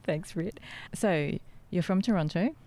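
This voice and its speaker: A woman's voice: lowish voice, unhurried, warm tone. A woman hosting a podcast interview in a conference booth, asking questions in a friendly, encouraging way with many short acknowledgements. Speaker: podcast host. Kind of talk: conversational interview.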